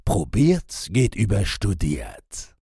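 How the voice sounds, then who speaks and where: Soldier in a fairy tale male reader acting: plucky, skeptical, jaunty, husky, animated. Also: lowish voice, slow, no echo, clean studio sound, pitched above the performer's own voice, exaggerated voice. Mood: skeptical, game. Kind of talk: fairy-tale audiobook character reading.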